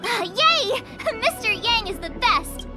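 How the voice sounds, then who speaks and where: Cocky girl detective for a game, high voice, super animated, music behind her. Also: slow pace, full character voice. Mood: delighted. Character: excitable girl detective, theatrical, cocky and playful. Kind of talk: animated video game voice acting.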